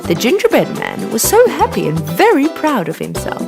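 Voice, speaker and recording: A woman narrating a children's story in an animated, expressive way. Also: fairly high pitch, normal speed, creaky. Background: music.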